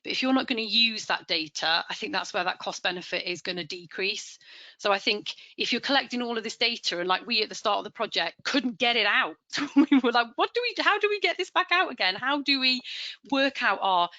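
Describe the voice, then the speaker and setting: A woman's voice: fairly high pitch, expressive, brisk, sharp tone, no room sound, muffled recording. A woman presenting a farming webinar in a chatty, conversational way, often restarting her sentences. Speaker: livestock advisor presenting a webinar.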